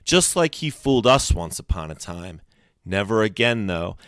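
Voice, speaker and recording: A man's voice: on the low side, big pitch swings, normal speed, dry recording, studio quality. A man playing a stern, stubborn old grandfather and former soldier, speaking firmly and with resolve in a story. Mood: grim, resolute. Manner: firm, quieter, resolute.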